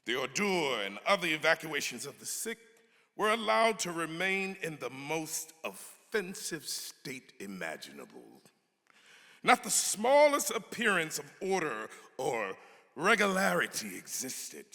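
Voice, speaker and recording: A man's voice: on the high side, huge pitch swings, measured pace, pushed and bright. A man giving a dramatic reading of a formal historical text in an emphatic, oratorical way. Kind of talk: dramatic reading of an 18th-century pamphlet. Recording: long hall reverb.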